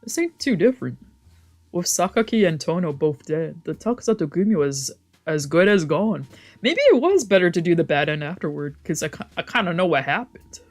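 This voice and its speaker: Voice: medium pitch, animated, a little fast, faint music behind. A streamer casually narrating and commenting on a visual novel, swearing and reacting freely between lines read aloud. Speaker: streamer doing a let's play.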